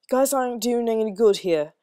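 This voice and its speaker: A woman voicing an exasperated young man who scolds loudly, then fusses over sleepy children in a fanfic reading. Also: on the high side, expressive, fairly quick, clean tone, dry recording, clean studio sound, voice pushed up, lightly acted. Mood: apologetic. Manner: scolding, flustered.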